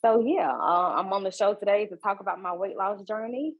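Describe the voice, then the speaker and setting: A woman, a guest on a podcast, talking in a relaxed, casual way with repeats and restarts. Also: medium pitch, a little fast, no echo, dull audio. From Georgia, US. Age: 30s. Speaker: guest, fitness coach.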